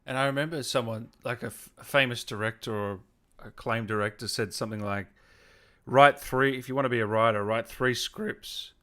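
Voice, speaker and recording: A man's voice: mid-range voice, fairly even intonation, normal speed, fairly bright tone. A man hosting a casual video-call interview and reading out viewer questions in a laid-back, informal way. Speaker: interview host, gaming YouTuber.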